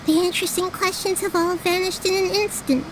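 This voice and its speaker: A woman voicing a small, childlike, gently wise goddess in a video game. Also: very high-pitched, fairly even intonation, brisk, background noise. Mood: gentle, thoughtful. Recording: game music behind.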